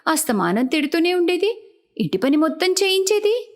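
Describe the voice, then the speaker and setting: Woman voicing a high-voiced, meek young stepdaughter. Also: sing-song, moderate pace, full character voice. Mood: puzzled. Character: obedient, pleading young stepdaughter.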